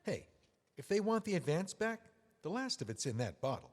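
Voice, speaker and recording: A bitter, drunken middle-aged widower rants in a sarcastic, slurring voice, as an actor plays him. His pitch sits a bit high and swoops widely. A long reverb trails his words. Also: very animated, moderate pace. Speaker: memoir author. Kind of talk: audio drama acting.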